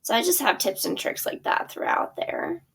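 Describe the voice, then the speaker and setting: A woman's voice: on the high side, sing-song, moderate pace, vocal fry, a bit bright, slightly rough. A woman teacher casually walking through her theater curriculum, chatty and full of fillers. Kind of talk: casual walkthrough of a product.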